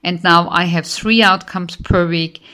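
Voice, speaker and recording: A woman with an Austrian German accent speaks with earnest enthusiasm, praising her coach. Her voice sits a little low, and she pauses now and then on small fillers. She sounds like a counselor recommending someone she trusts. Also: steady tone, sharp tone. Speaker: life coach and counselor giving a testimonial. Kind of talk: enthusiastic client testimonial.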